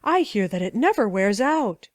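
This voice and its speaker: A sly young prince plotting against his father, as a woman reader puts him on. Raised, lively, mock-sweet delivery full of false affection. Dry close recording. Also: on the high side, normal speed, no echo. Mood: scheming. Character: scheming young male prince, sly, fawning. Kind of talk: storybook reading.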